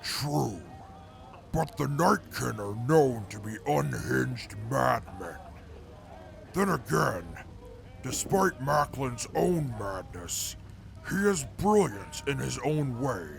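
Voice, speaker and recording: An actor playing a reformed male mutant soldier in an audio drama, speaking in a formal, measured way. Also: mid-range voice, sing-song, creaky, faint music behind, full character voice. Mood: grave. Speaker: former enemy scout now with the crew.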